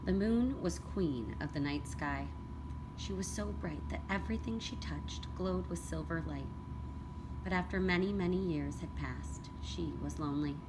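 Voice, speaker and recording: A woman with a slightly low voice narrates a children's picture book. She tells it expressively and deliberately, like a teacher at read-aloud time. Clear background noise sits in the recording.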